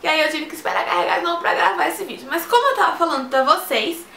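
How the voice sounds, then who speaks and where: A woman's voice: extremely high pitch, fast talker, vocal fry, sharp tone, echoey room, slight background noise. An eighteen-year-old woman from Brazil with a Brazilian Portuguese accent mixed with European Portuguese, chatting to her viewers from home. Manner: chatty, talks straight to viewers. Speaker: vlogger. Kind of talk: casual talk to camera. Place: room at home.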